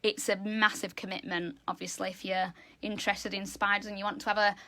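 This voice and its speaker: Woman, zoo educator, loud high voice, bright and chatty, live kids' lesson. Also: little pitch movement, a little fast. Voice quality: high-pitched voice. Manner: loud, chatty, enthusiastic, talks to kids. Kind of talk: live educational animal talk.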